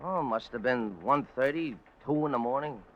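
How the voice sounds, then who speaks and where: An actor playing a whiny, evasive ex-convict informer with slangy, uneducated grammar in a 1950s radio detective drama. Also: male voice, medium pitch, expressive, moderate pace, natural voice. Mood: guarded. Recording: muffled vintage radio recording.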